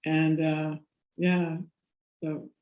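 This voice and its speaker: A woman's voice: low voice, level tone, extremely slow, darkish tone. American woman telling stories in a roomy echo. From United States. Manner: rambling, laughs often, many restarts. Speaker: guest. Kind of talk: casual interview storytelling.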